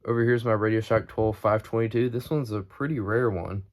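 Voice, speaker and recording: A man's voice: on the low side, fairly even intonation, a little fast, slight background noise. A man giving a casual, off-the-cuff show-and-tell narration as the host of a collection video. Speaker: host showing a weather radio collection.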